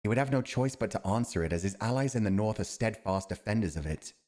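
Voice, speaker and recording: Male actor as steadfast fantasy war commander: very low voice, formal, measured, honourable. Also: animated, darkish tone, lightly acted. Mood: earnest, resolute. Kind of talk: fantasy audio roleplay acting.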